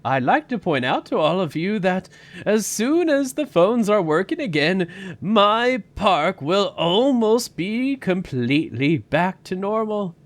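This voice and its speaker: A man playing an older park founder grandly in denial, speaking deliberately and insistently in an audio drama, with music behind him. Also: sits high, very animated, no echo, exaggerated voice. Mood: stubbornly confident.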